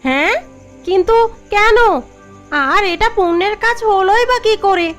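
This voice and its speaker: A woman voicing a shrill scolding village wife. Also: unhurried, fairly bright tone. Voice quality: nagging, questioning. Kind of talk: Bengali cartoon voice acting. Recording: music under the voice.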